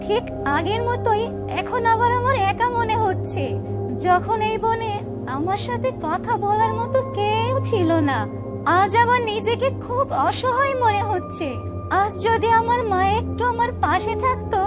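A very high, plaintive young woman of a cartoon fairytale forest. She sounds lonely and anxious and draws out her words. Loud music plays behind her. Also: unhurried. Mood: sad, anxious.

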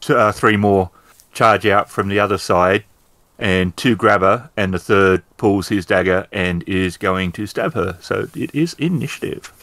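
A man with a low-pitched voice acts as storyteller for a tabletop game. He describes the setting in a halting, improvised flow, adding detail as he goes. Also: a bit bright, no room sound.

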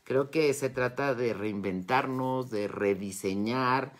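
A woman's voice: very deep voice, slow pace. A woman in her fifties with a Mexican accent, chatting casually and effusively with followers in a live session. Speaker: wellness and metaphysics teacher hosting a live Q&A. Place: indoors, home study with bookshelves. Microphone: phone or tablet at arm's length.

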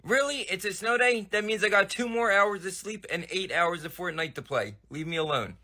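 A man's voice: sits high, normal speed, sharp tone, dry recording. A man voicing a lazy, sarcastic teenage big brother who mocks his younger sibling. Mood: mocking lazy. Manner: mocking, lazy drawl.

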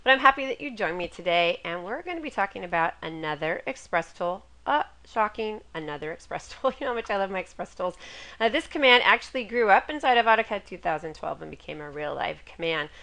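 A middle-aged woman, a little raspy from a cold, hosts a chatty, upbeat software tutorial over a screen recording with a webcam inset. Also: medium pitch, lively intonation, brisk. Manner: chatty and upbeat, laughs at her own jokes. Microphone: webcam inset over screen capture.

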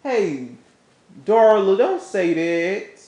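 A woman voicing a pleading, apologetic boyfriend in a relationship melodrama, halting and placating but firm. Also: mid-range voice, expressive, warm tone, pitched below the performer's own voice. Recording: echoey, roomy sound.

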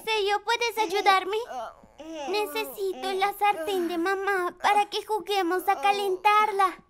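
A woman's voice: very high-pitched, flat delivery, slow pace, crowd noise. A woman voicing a cheerful, bossy little girl, a playful big sister in a children's cartoon dub, bubbly and coaxing. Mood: excited, playful.

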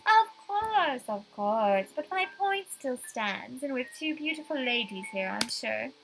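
Woman putting on a suave, flirtatious gentleman. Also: sits high, sing-song, gravelly, a little hiss or hum, exaggerated voice, rough recording. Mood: smooth flirting. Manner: drawn-out, oily, condescending. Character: suave, flirtatious wealthy husband, Mister Wright.

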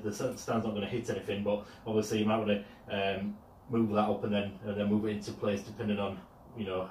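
Low, flat-voiced man in a garage. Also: slight background noise. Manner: hesitant, many ums and uhs. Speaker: product reviewer. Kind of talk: casual product demonstration. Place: home garage. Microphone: mobile phone camera. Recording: echoey garage.

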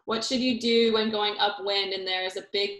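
A young adult woman, an Olympic sailor, reading out questions and answering them in a friendly, hesitant way, with lots of pauses over a video call. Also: mid-range voice, steady tone, a bit bright. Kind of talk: casual online Q&A. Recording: echoey room on a video call.

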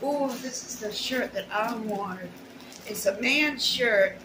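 A woman's voice: a little high, slow. A middle-aged woman chatting casually and plainly to camera, rambling in short bursts as she shows her shopping. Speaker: shopping haul vlogger. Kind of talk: casual unboxing chat to camera. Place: small plain indoor room at home. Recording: roomy echo, noisy home recording.

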